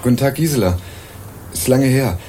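An actor playing an earnest young male student investigator in a radio crime drama, narrating and questioning in a measured, dry way. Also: mid-range voice, lively intonation, dark tone, slight background noise, natural voice. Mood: earnest, probing. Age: young adult. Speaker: narrator and lead character.

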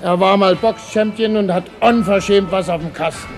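High-voiced, shady male barkeeper. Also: normal speed, music in the background. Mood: sly, boastful. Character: shady London dive-bar landlord, ingratiating. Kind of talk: 1950s to 80s German radio crime drama acting.

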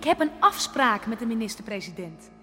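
An actress playing a stubborn young village woman who insists on being heard, defiant and sharp-tongued. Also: on the high side, sing-song, a little fast, over music. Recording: reverberant room.

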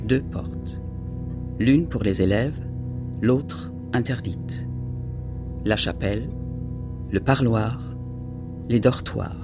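A man with a husky, creaky voice reading scripted literary narration in a radio play. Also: medium pitch, slow pace, long pauses, natural voice. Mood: measured. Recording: music behind the voice.

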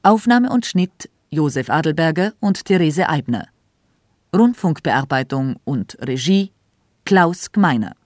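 A woman radio announcer reading the credits of a radio play in a measured, formal way. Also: sits low, lively intonation, darkish tone, dry recording, clean studio sound.

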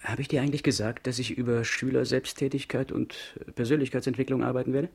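Ambitious schoolteacher in radio play; husky middle register, formal and sure of himself. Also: male voice, medium pitch, fairly even intonation, quick pace, a little mellow. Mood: pleased, confident. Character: self-assured male schoolteacher, ambitious and formal. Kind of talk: 1970s German radio drama acting.

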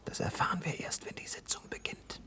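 An actor playing a jovial, teasing gentleman juror in a 1960s German radio play, with an ironic manner. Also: brisk, in a whisper. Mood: hushed, curious. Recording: 1960s radio drama recording.

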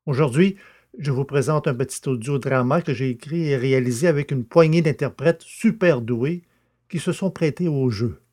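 A man's voice: medium pitch, moderate pace, darkish tone. A man presenting a scripted podcast introduction as its host.

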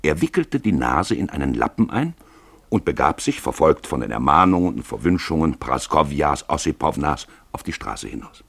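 Male narrator, raspy, very animated intonation, close dry sound, deliberate, radio drama storytelling. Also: very deep voice, no echo. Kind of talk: dramatic scripted literary narration for radio play.

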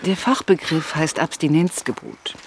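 A man's voice: on the high side, moderate pace, slight background noise, natural voice. An actor playing a probing, inquisitive man who speaks precisely and asks questions in radio play dialogue. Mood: probing, composed. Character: probing, inquisitive conversation partner discussing a client.

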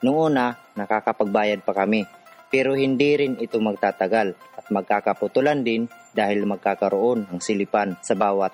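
A man's voice: lowish voice, a little mellow, lightly acted. An actor playing a poor man from a city slum, narrating his own hard life in a scripted radio drama.

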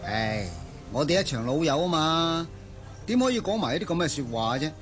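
A male actor playing the groom's smooth-talking old friend in a Cantonese radio drama, teasing and insinuating in a Hong Kong accent. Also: on the high side, expressive, normal speed, natural voice. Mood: persuasive, sly. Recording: music behind the voice.

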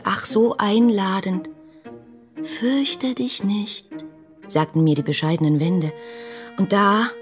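An actress playing an ardent, dreamy young woman in a German radio play, effusive and lyrical in a breathy voice. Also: mid-range voice. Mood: awed, tender. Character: ardent, dreamy young woman writing rapturous letters about her idol. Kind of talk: German radio play, literary letter monologue.

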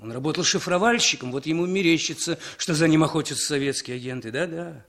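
An actor playing a bitter, drunken émigré and former prisoner of war in a 1960s Soviet radio play, brooding, halting and repeating himself. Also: male voice, fairly high pitch, a bit bright. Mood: bitter, despairing.

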